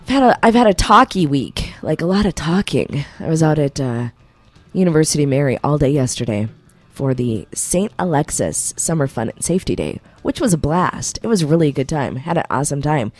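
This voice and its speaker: A woman hosting a morning radio show, chatty and quick to laugh, losing her voice. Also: sits low, expressive, a little mellow, dry recording. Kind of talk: casual morning radio banter. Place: radio studio. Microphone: studio microphone.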